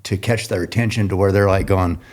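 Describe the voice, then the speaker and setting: A middle-aged man with a very deep voice hosts a relaxed podcast conversation. He rambles, breaks off his sentences and starts them over, and laughs easily. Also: a little fast. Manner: rambling, self-interrupting, laughs often. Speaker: podcast host. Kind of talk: casual interview chat.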